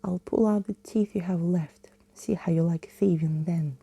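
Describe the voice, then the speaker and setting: A woman's voice: fairly low pitch, moderate pace, vocal fry, warm tone, husky, no echo. A woman voicing a menacing male clown villain from a children's storybook in a growly whisper.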